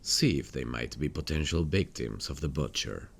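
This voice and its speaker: A man acts out a haunted detective in an intimate audio roleplay. His voice is extremely low and gravelly, and he takes his time with every phrase. He addresses his partner directly, weary but intent on the hunt, over faint background ambience. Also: animated, moderate pace, a little hiss or hum, heavily acted. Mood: focused, guiding. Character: weary, haunted male detective hunting a serial killer. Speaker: ASMR roleplay voice actor. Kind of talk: ASMR roleplay, intimate dramatic acting.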